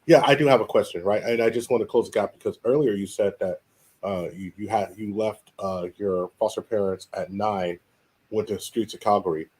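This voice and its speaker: A man's voice: lowish voice, brisk, a little mellow, breathy edge. An older man interviewing a guest on a casual podcast, rambling and stammering through his questions with a joking manner.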